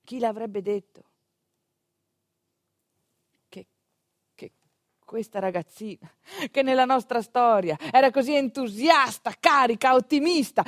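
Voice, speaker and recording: Expressive stage storyteller, a woman, dry sound. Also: high-pitched, no echo. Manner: expressive, with pointed pauses and rhetorical asides. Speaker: stage actress narrating a monologue. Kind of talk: conversational theatrical storytelling.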